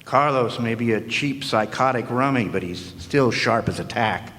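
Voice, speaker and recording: A man's voice: mid-range voice, moderate pace, a bit bright, a little hiss or hum. Brief: a sardonic man with a mid-range voice, narrating noir in an echoing hall. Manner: wry, sardonic. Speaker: narrator, hard-boiled detective. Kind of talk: 1940s noir detective narration in a live radio play. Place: historic club hall, live audience performance. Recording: long echoing hall reverb.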